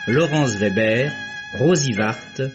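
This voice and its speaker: Male announcer reading credits: slow, formal, dull old radio recording with music behind. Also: medium pitch.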